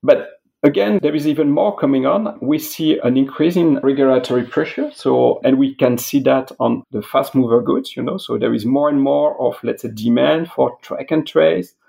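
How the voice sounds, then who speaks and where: A middle-aged man with a French accent, a native French speaker, explaining his industry as an interviewed expert in a halting way peppered with filler phrases. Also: mid-range voice, gravelly, mellow, poor audio. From France. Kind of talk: interview, explanatory business talk.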